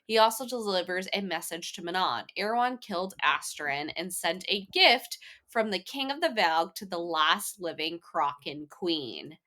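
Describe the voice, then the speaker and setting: Female book podcast co-host, crisp bright edge, slightly low pitch, informal but organized delivery. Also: animated, a little slow, hardly any pauses. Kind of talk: casual book club chat, reads prepared chapter summaries.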